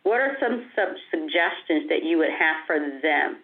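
A woman's voice: low voice, lively intonation, normal speed. Woman host over a phone line. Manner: conversational, repeats words, restarts. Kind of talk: interview hosting.

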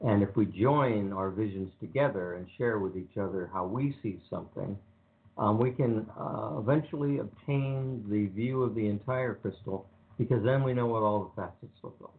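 A man co-hosting a radio talk show, speaking in a calm, even, rambling way with many ums. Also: on the low side, normal speed. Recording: phone-like narrow sound.

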